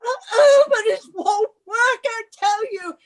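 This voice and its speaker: Lady doing a henpecking british wife, radio play, super high voice, slow n imperious, swoops around. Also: full character voice. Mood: panicked, pleading. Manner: imperious, theatrical, drawn-out delivery. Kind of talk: radio suspense drama acting.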